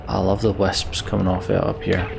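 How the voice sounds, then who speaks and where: A man's voice: low voice, creaky, heavy background noise. A man doing a let's play, reading game text aloud and adding informal, enthusiastic asides. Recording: game music behind the voice. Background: game music.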